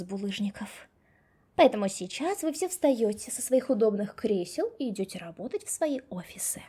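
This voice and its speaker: A young woman voicing a stern, sharp-tongued female office boss in an ASMR roleplay, whispering softly close to the mic. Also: fairly high pitch, breathy edge, exaggerated voice. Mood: stern, sarcastic. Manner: scolding and sarcastic to staff.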